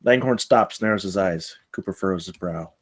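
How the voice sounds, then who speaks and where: A man's voice: low voice, normal speed, slightly rough. A man reading stage directions as the narrator of a screenplay table read.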